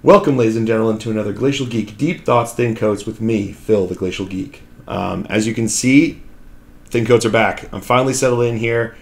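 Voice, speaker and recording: A man hosts his own hobby channel in a low, slightly raspy voice. He talks casually and loosely, trailing off and restarting often. He speaks into a desk microphone in a room at home. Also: a little fast. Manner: rambling, with many fillers and restarts. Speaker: channel host. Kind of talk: casual hobby vlog talk while painting. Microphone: new desk microphone.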